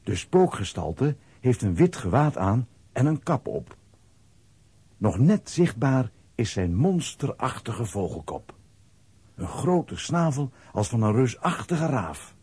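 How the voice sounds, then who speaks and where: A man with a husky voice narrating a scripted children's audio play. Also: medium pitch, very animated, takes it slowly, a little mellow, no echo.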